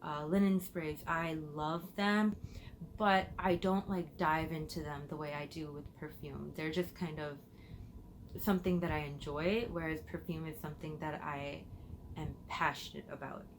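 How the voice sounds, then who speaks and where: A woman's voice: deep voice, fairly even intonation, moderate pace, background noise. A woman from Illinois, a perfume vlogger answering viewer questions in a chatty way, thinking aloud as she goes, recorded on her phone. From United States, Illinois. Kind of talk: casual Q&A chat to camera.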